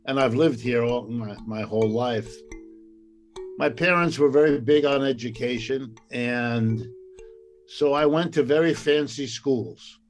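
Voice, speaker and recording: Older man recalling his life with faint music. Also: mid-range voice. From United States. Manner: unhurried, many "uh" and "um" fillers, occasional laughs. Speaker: economics professor, interviewee. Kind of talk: reflective personal storytelling.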